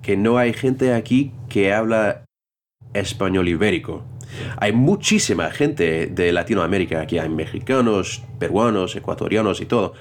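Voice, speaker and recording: A man with a lowish voice talks in a relaxed conversation. He speaks hesitantly, often stopping to restart and hunt for the right word. Faint noise can be heard behind him. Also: moderate pace. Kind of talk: casual conversation.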